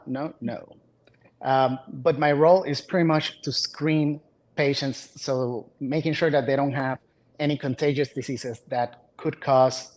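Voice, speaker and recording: Male doctor guest from Puerto Rico, mid pitch, relaxed, deadpan jokes. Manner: dry humor, relaxed. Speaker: physician, guest. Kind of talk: interview answers.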